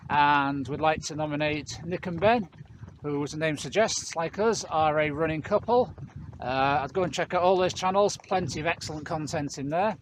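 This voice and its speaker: Middle-aged man, slightly high voice, relaxed dry humour, noisy background. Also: moderate pace. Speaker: running channel co-host. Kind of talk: casual chat to camera.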